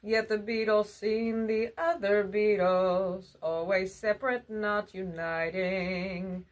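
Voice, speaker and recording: A woman telling a children's bedtime story in a drawn-out, singsong delivery. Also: medium pitch, steady tone.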